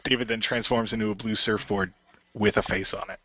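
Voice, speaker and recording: A man's voice: on the low side, steady tone, fairly quick, bright, forceful tone, dull audio, a little hiss or hum. A man narrating his character's actions in an improvised radio play, as one of the players.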